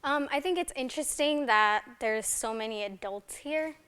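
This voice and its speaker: A woman's voice: high voice, normal speed, fairly bright tone, smooth. A young woman student from El Salvador, speaking passionately on a panel and posing pointed rhetorical questions. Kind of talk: impassioned panel remarks.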